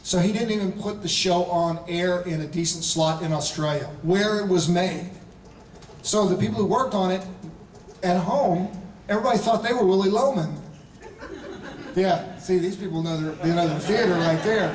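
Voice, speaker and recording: A man in his forties from North Carolina, answering fan questions at a convention panel in an animated, laughing storytelling way. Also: sits high, moderate pace, a little hiss or hum. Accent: Charlotte, North Carolina. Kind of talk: live convention Q&A. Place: large convention hall. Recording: echoey hall from far off.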